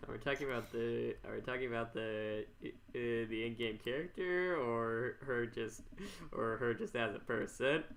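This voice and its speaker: A man's voice: mid-range voice, normal speed, gravelly, fairly bright tone, a little hiss or hum. A man hosting a podcast in casual chat, often stumbling and repeating his words as he goes. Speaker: podcast host.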